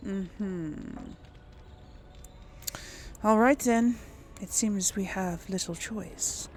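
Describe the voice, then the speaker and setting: A woman's voice: medium pitch, extremely slow, a little hiss or hum. A woman hosting a gaming video, role-playing casually and musing aloud in a hesitant way. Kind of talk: casual role-play reactions over a game.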